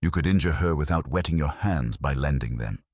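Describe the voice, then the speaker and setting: A man's voice: very deep voice, fairly quick, a little mellow, no room sound. A man voicing an imperious, exacting master detective in an audiobook, formal, pedantic and coldly accusing.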